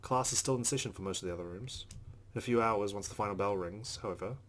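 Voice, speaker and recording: A man's voice: on the low side, fast talker, a little hiss or hum. A man reading a visual novel aloud as dramatic narration for a let's-play.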